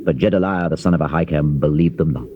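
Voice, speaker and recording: A man giving a solemn, measured, dramatized narration of scripture in archaic King James English. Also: very deep voice. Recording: dull, hissy old cassette tape transfer.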